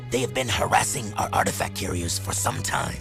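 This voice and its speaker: An actor playing a stern, imperious older desert ruler with a commanding, declamatory manner in a video game. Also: male voice, low voice, brisk, vocal fry, music in the background. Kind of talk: video game dramatic acting.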